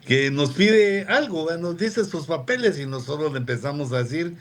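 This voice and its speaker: A man preaching a relaxed, conversational sermon on a radio program, often turning to his listeners with asides. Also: on the high side. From Latin America. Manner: unhurried, digressive, with frequent asides to listeners.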